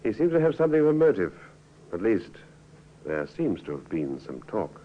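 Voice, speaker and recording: A blunt police inspector in a vintage radio drama. He is low-pitched but varied, asking short, probing questions. The sound is lo-fi and slightly noisy. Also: sing-song, normal speed. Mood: measured, probing. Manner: terse questioning. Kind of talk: 1950s radio drama acting. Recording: dull, noisy old radio recording.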